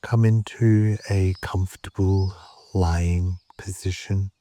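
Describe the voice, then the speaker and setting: A man guiding a meditation in a calm, measured way, with short phrases, long pauses and a soft, at times breathy voice. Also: deep voice. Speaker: yoga nidra meditation guide. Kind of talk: slow guided meditation narration.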